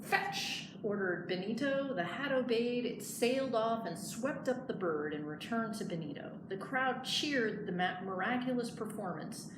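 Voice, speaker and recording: Woman, children's storyteller, expressive read-aloud, fairly high voice, roomy echo, background noise. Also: normal speed, few pauses. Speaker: librarian reading aloud. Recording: echoey room, clear background noise.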